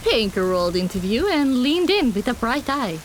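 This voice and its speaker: A woman narrating a first-person fantasy audiobook in an expressive, dramatic storytelling manner. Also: fairly high pitch, moderate pace, noisy background.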